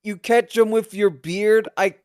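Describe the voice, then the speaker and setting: A man's voice: sits high, fairly even intonation, measured pace, a little mellow, smooth, no room sound, studio quality. A man in his late twenties co-hosting a casual livestream chat, teasing and mock-outraged. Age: 20s. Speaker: podcast co-host.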